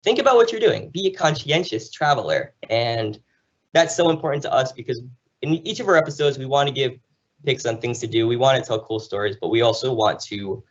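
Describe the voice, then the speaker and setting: A man's voice: medium pitch, expressive, fairly quick. American man storytelling, slightly dull audio. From New Jersey, United States. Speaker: podcast guest, travel show host. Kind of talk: conversational interview, enthusiastic storytelling.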